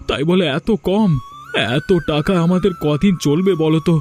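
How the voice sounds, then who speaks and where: A man playing a worried, affectionate village farmer husband and father in a Bengali cartoon, with an expressive, complaining delivery. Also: high-pitched, normal speed, faint music behind, lightly acted.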